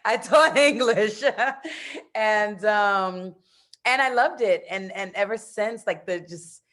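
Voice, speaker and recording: An American woman in her thirties chatting in an interview, animated, laughing often and using plenty of fillers. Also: medium pitch, slow. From United States. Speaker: interview guest, expat in Brazil. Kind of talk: casual interview chat.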